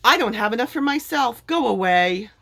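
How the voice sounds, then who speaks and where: A woman's voice: a little high, expressive, normal speed, pitched above the performer's own voice. Woman voicing a bossy, stingy storybook lord. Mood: irritated, dismissive. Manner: shouting, curt, dismissive.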